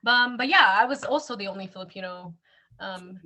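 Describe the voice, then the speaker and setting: A woman's voice: medium pitch, brisk, bright, forceful tone. A woman with a Canadian accent, chatting casually and informally as a co-host, with an enthusiastic manner. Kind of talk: casual chat.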